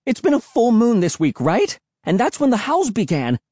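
Man as a young boy, shrill, high-pitched, frantic, stubborn, storybook character. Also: wildly swooping pitch, normal speed, a little mellow, dry recording, studio quality, full character voice. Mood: panicked.

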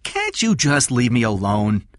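A man's voice: mid-range voice, wildly swooping pitch, unhurried, no echo, studio quality, pitched above the performer's own voice. A man voicing a desperate small-time criminal who pleads and stammers defensively.

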